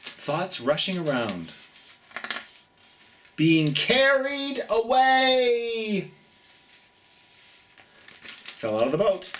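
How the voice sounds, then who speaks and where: A middle-aged children's storytime host chatting and reading aloud, gently and theatrically, speaking straight to young listeners with drawn-out emphasis. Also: medium pitch, slight background noise.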